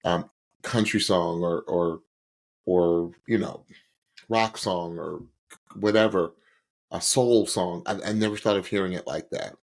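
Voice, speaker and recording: A man's voice: sits low, fairly even intonation, measured pace, gravelly, pauses often. A man co-hosting a podcast, giving casual reaction commentary in a gushing way and repeating words for emphasis. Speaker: podcast co-host.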